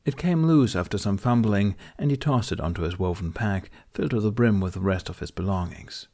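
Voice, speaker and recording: A man's voice: fairly low pitch, fairly quick, dark tone. A man reading scripted fiction as an audiobook narrator.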